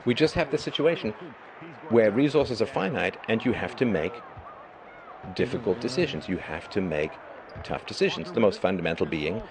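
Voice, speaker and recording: A middle-aged man with a hoarse voice, hosting a live stream and talking through his views in an emphatic, lecturing monologue. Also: on the low side, animated, normal speed, crowd noise. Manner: emphatic, lecturing, with rising bursts of stress. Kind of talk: monologue commentary.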